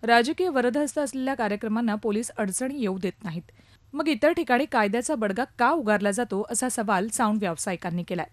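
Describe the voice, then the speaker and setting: A woman's voice: a little high, expressive, a little fast, dry recording. A woman reading a scripted news report as a reporter.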